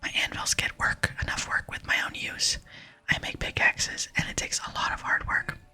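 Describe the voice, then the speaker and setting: An ASMR gamer voicing a humble, hard-working male smith from a game in a polite, breathy whisper. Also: normal speed, bright, forceful tone. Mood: earnest. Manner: polite, plain-spoken. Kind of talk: whispered game dialogue reading.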